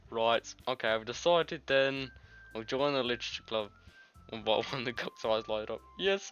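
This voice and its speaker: A man's voice: mid-range voice, sharp tone. A man reading game text aloud for a let's play, adding casual asides and stumbling over words. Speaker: video game streamer. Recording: slightly muffled lo-fi audio, music behind. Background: game music.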